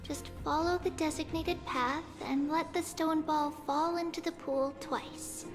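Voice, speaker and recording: A woman voicing a gentle, wistful little girl guide in a video game, with solemn, measured delivery. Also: high-pitched, steady tone. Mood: calm guiding. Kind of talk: video game character acting. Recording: game music behind the voice.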